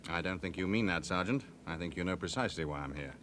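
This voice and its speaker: An actor playing a suave, guarded British banker in a radio drama, cool, formal and suspicious. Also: male voice, deep voice, fast, a bit bright, slight background noise. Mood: knowing, guarded.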